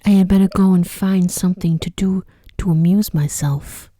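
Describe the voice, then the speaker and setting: A woman voicing an innocent, wondering young fairytale heroine in a soft, whispery ASMR storybook reading. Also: on the low side, fairly even intonation, normal speed, no echo, studio quality, lightly acted. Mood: puzzled musing. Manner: gentle, musing.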